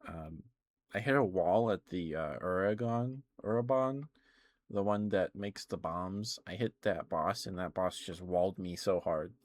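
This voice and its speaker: Man, low-pitched, unhurried, halting, gentle ASMR chat, live streamer. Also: no room sound. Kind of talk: ASMR, casual rambling chat.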